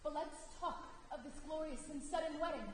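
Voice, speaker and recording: A woman's voice: high voice, brisk, natural voice. A woman playing a proud, commanding queen and mother in a stage play, with pointed, emphatic delivery. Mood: probing, composed. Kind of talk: theatrical stage acting. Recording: echoey theatre hall with faint noise.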